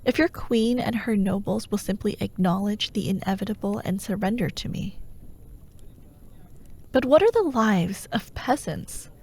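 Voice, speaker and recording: A woman playing a menacing, persuasive fantasy warlord who haunts dreams, grandiose and coaxing, in a breathy ASMR roleplay voice that often drops toward a whisper. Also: medium pitch, animated, a little mellow, exaggerated voice. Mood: proud, indignant. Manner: slow, grandiose, coaxing, mock-reasonable.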